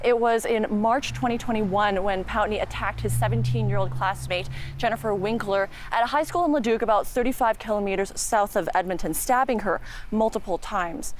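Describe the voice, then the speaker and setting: A woman with a Canadian accent giving a measured, earnest live news report as a reporter outside a courthouse. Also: medium pitch. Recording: clear background noise, outdoor ambience.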